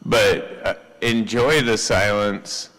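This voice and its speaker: A man chatting casually as a radio operator, in a halting, unhurried way that tends to trail off. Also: on the low side, fairly even intonation, a bit bright. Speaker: CB radio operator hosting a live stream.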